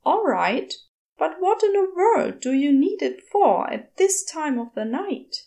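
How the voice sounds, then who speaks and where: A woman voicing a fussy, gentle, bookish male angel in a storybook reading, prim and polite. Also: high voice, big pitch swings, a little slow, gravelly, pitched above the performer's own voice, exaggerated voice. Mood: prim, obliging. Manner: prim, polite, old-fashioned wording.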